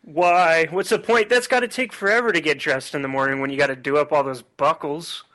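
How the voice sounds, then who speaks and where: A man's voice: on the high side, fairly quick, pushed and bright. A man talking in casual chat as a guest on a podcast.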